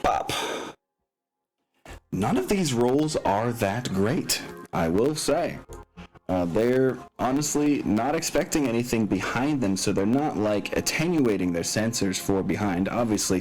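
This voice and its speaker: A man with a low voice works as game master of a tabletop game. He talks casually through rules and scenes, rambling a little as he thinks out loud, with music softly audible behind him.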